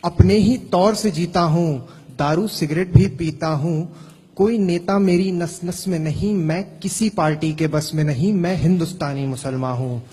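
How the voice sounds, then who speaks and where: A male poet recites verse in a rhythmic, measured cadence. His voice is slightly raised and husky. It trails off in the long reverb of a hall. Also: fairly high pitch, warm tone. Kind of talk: poetry recitation.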